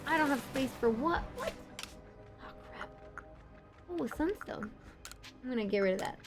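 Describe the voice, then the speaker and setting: A woman streaming a game live, chatting with viewers and reacting excitedly, her voice worn out and tired. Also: high voice, moderate pace, over music. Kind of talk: casual live-stream commentary.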